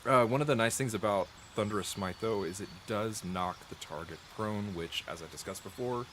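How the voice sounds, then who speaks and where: A man's voice: fairly low pitch, a little fast, fairly bright tone, slight background noise. A man explaining game spells as he plays, rambling and correcting himself, with a dry throat and frequent coughs, close to a desk mic. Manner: chatty, rambling, restarts and self-corrections, thinks aloud. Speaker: gameplay streamer. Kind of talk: explaining game mechanics while playing.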